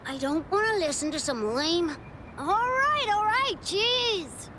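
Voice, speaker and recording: A woman's voice: very high voice, lively intonation, slow, noisy background, full character voice. A woman playing a sulky, reluctant young child with a whiny, grudging delivery in a video game. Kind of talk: video game character acting.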